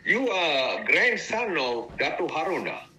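A man's voice: a little high, big pitch swings, unhurried, a crowd in the background, low-quality recording. A man, a retired ambassador telling stories in a leisurely, good-humoured way during an interview. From Malaysia. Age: 90s. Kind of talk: casual interview reminiscence.

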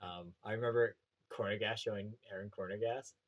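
A young man with a Canadian prairie accent, chatting casually on a podcast. His voice sits low, and his talk wanders through fillers and restarts. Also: fairly even intonation, fairly quick, dull audio. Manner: rambling, many fillers and restarts. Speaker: teacher.

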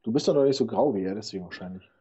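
A man co-hosting a casual football podcast, telling quick anecdotes with a laugh. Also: fairly low pitch, mellow, breathy edge. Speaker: podcast co-host. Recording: dull lo-fi sound.

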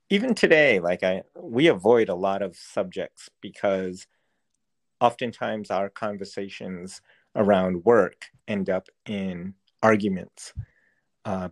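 A man whose first language is Cantonese, answering interview questions slowly and earnestly. His voice has a gravelly, creaky edge, and he often pauses and restarts to find the right words. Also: on the low side, animated. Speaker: school district educator.

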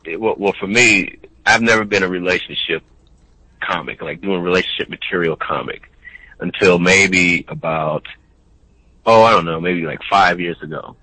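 A man's voice: sits low, moderate pace, sharp tone, dry recording, low-quality recording. A male comedian in casual chat, talking earnestly and leaning on "you know". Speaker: stand-up comedian.

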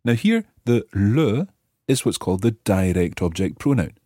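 A man hosting a language lesson, explaining example phrases piece by piece with careful, measured articulation. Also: lowish voice, very animated, mellow, no echo, studio quality. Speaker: language teacher and host.

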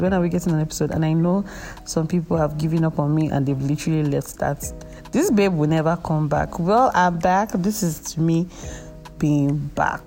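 A woman's voice: deep voice, warm tone. A young Nigerian woman with a Nigerian accent, hosting a podcast in a chatty, self-mocking way, often hesitating with fillers. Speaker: podcast host. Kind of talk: casual chat.

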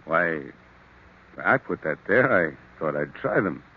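Defensive cornered boyfriend in old radio thriller, deep husky voice, blustery, kinda muffled recording. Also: male voice, animated, slow, full character voice. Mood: uneasy evasion. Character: indignant cornered lover, evasive, blustering man.

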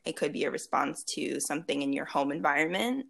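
A woman in her late twenties speaks as a guest in a relaxed interview, her voice low and marked by vocal fry. She talks easily and at length, with frequent fillers, restarts and small laughs. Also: a little fast. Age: 20s. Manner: chatty, laughs often, many fillers and restarts. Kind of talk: casual video chat interview.

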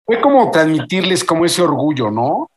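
A man with a Mexican Spanish accent in casual chat on a video call, talking in heavy slang and swearing, and acting out other people's words in lively voices. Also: fairly high pitch, moderate pace.

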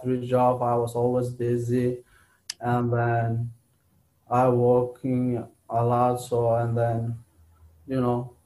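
Soft-spoken Rohingya man, halting English. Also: mid-range voice, low-quality recording. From Myanmar. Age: young adult. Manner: halting, simple English with many restarts. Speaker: interviewee. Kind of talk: casual interview answers.

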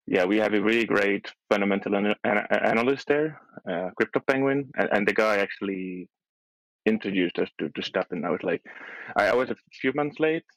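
A man's voice: on the low side, a little fast, no room sound, poor audio. A young adult man whose first language is Dutch, guest on a casual podcast, talking in a hesitant way with many restarts. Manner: hesitant, restarts often, soft laughs. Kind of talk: casual chat.

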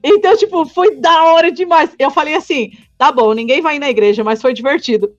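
Guest: high, bubbly São woman in her twenties, slangy and laughing, on slightly muffled audio with light music. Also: a little fast. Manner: excitable, laughing often, slangy. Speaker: podcast guest, returned missionary. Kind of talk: casual interview chat.

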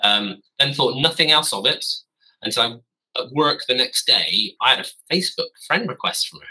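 Guy, 30s, podcast guest on a call from his garden office; husky, forceful tone, meandering and self-correcting. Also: medium pitch, expressive, normal speed, poor audio. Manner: rambling, self-correcting, wry. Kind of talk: casual storytelling.

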